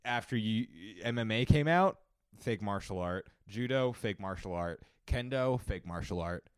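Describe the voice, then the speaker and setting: Smooth-voiced young man joking about movies. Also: fairly low pitch, expressive, moderate pace, dry recording, clean studio sound. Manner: joking, opinionated, laughs often. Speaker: podcast co-host. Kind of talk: casual movie-review chat.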